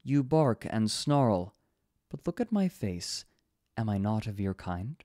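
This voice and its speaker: A man voicing a dignified female alpha wolf who speaks slowly and alluringly, coaxing and hypnotic. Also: on the low side, very animated, dark tone, no echo, clean studio sound.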